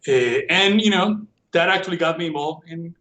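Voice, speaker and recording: A middle-aged man with a somewhat high-pitched voice and a Venezuelan Spanish accent. He is a native Spanish speaker telling stories in a casual, conversational way, as a guest on a podcast. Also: normal speed, pushed and bright. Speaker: podcast guest, computer forensics professional.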